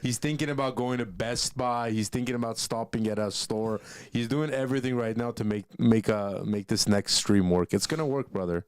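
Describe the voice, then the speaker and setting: A man's voice: medium pitch, normal speed, hardly any pauses, clean tone, dry recording. A man co-hosting a livestream, joking and teasing in casual chat. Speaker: co-host.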